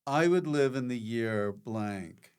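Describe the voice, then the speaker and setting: Slow-talking male podcast host. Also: medium pitch, animated, clear, resonant tone. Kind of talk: casual comic interview.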